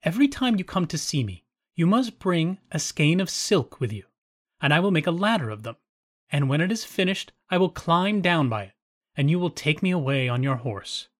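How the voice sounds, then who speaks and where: A man voicing a gentle, innocent young maiden who speaks softly and eagerly. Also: on the high side, big pitch swings, moderate pace.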